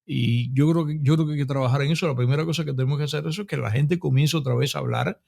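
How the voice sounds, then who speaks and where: Gravelly Cuban man hosting an interview. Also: fairly high pitch, quick pace. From Cuba. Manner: animated storyteller, full of restarts and asides. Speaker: podcast host and interviewer. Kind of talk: casual conversational interview.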